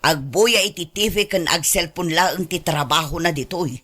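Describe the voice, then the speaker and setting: An exasperated, doting Ilocano mother-in-law in a radio drama. Her voice sits somewhat low for a woman, and she grumbles emphatically about the household, like someone who carries every chore. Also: normal speed, a bit bright. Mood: exasperated complaining. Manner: complaining, emphatic.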